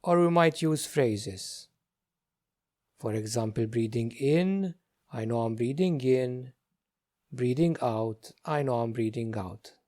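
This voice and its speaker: A man leading a guided meditation as a teacher, speaking gently in short, unhurried phrases with many pauses. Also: medium pitch.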